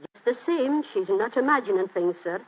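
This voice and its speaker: A husky, rather high-voiced old British landlady, chatty, homely and anxiously deferential. She speaks in 1940s radio-play style on a muffled old broadcast. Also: expressive, moderate pace. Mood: earnest. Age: older. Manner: chatty, apologetic, flustered.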